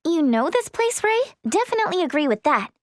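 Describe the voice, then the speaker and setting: A woman voicing an earnest, eager, slightly nervous young girl adventurer in a video game, with a girlish, expressive delivery. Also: very high voice, a little fast, dry recording, clean studio sound. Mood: determined. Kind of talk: video game voice acting, animated anime-dub delivery.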